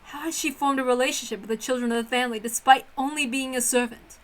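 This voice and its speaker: A woman voicing a reserved, wistful servant girl who narrates in a soft, measured, formal way. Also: high-pitched, steady tone, voice pushed down, close to an everyday voice. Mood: wistful, restrained.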